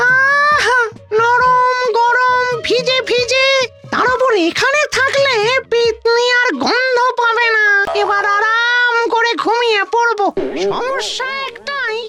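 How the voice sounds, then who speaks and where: A grumpy little male ghost from a fairy-tale cartoon. The voice is extremely high and squeaky, dragging its words slowly in a put-upon mutter, over prominent playful music. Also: fairly even intonation. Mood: relieved, uneasy.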